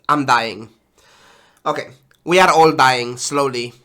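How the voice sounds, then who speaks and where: Slow, sighing congested man. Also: mid-range voice, sharp tone. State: congested with flu. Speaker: streamer. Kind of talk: casual game patch notes reading.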